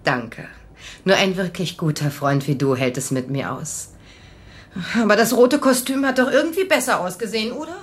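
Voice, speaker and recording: Casting: actress as nervous fussing woman, animated swooping pitch, talkative and fretful. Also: moderate pace, slight background noise, lightly acted. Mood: fretful, excited. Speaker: talk show guest. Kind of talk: radio drama dialogue.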